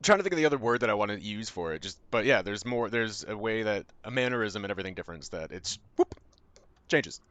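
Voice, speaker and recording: A young Canadian man with a Canadian accent talks in a low-pitched voice, rambling loosely with lots of fillers and offhand swearing. The sound is dry and close, with no room around it. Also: expressive, fast, a bit bright, dry recording. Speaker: streamer. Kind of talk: casual chat with viewers while gaming.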